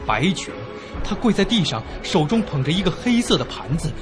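A man's voice: a little high, moderate pace, music in the background. An actor playing an uneasy young man, telling a ghost story in a suspenseful, dramatic first-person style. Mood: suspenseful, fearful. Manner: suspenseful, expressive. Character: uneasy young man narrating his haunted inn ordeal.

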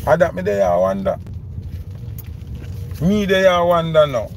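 Jamaican man, 30s, gravelly, emphatic, car interior, phone mic, background noise. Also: high voice, unhurried, a little mellow. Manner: emphatic, repeats questions, Patois mixed with English. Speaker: vlogger. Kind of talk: ranting commentary. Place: inside a parked car. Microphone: phone camera held close.

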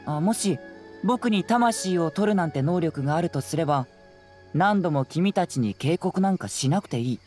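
A man's voice: on the high side, expressive, normal speed, a little mellow, natural voice. An actor playing a clever, confident young male detective in an anime game, speaking in a composed, casual, analytical way. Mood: thoughtful. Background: music.

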